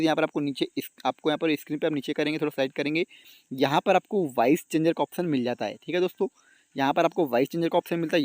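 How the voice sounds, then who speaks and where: Fast-talking man giving a phone tutorial. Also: fairly high pitch, darkish tone, no echo. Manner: repetitive, addresses viewers constantly with stock phrases. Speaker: YouTube tech tutorial host. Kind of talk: informal step-by-step phone tutorial voice-over.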